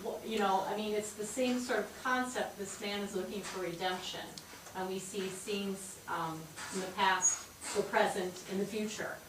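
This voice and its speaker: Female audience member thinking aloud in critique, hesitant, distant mic with clear background noise. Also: mid-range voice, steady tone, normal speed. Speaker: audience member giving feedback. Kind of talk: informal spoken critique. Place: indoor theatre audience. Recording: echoey theatre, distant mic, noisy.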